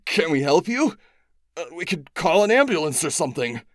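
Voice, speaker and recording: A wry, wisecracking teenage boy, as a man voices him, joking even when things turn serious. His voice is lifted and loud against a dry, close sound, with a deadpan edge. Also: a little high, normal speed, no echo, voice pushed up.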